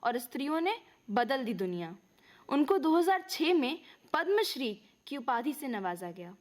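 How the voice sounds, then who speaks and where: A woman with an Indian accent giving a dramatic, declamatory poetry recitation in a deliberate manner. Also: high voice, big pitch swings.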